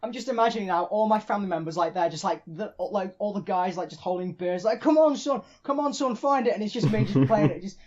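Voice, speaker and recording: A man's voice: sits high, animated, fast talker, hardly any pauses, a little mellow. Muffled man bantering on a podcast. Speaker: podcast co-host. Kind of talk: casual comic podcast banter.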